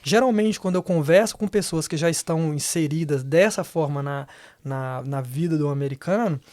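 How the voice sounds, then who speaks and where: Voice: mid-range voice, normal speed, a little mellow, no echo. A Brazilian podcast host with a Brazilian Portuguese accent, asking long, rambling questions full of fillers. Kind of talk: casual podcast interview.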